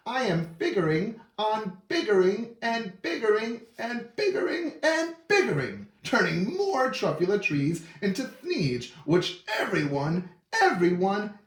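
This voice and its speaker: A man's voice: sits high, very animated, unhurried, echoey room, pitched above the performer's own voice. A man voicing a greedy, defiant, self-justifying businessman in a storybook, with emphatic, theatrical rhyming delivery. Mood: angry, defiant. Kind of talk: storybook read-aloud in character.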